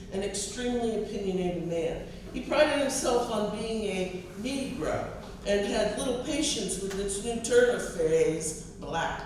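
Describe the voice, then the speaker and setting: American woman poet reading aloud, kinda low voice for a lady, measured phrasing, super echoey room. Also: a little mellow. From US East Coast. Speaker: poet and college professor reading own work. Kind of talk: literary reading of poetry and personal essay. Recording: distant mic, echoey room, clear noise.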